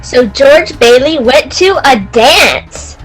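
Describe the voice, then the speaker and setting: A woman's voice: sits high, lively intonation, slow pace, faint music behind, low-quality recording. A woman giving scripted narration for an old-time style radio play.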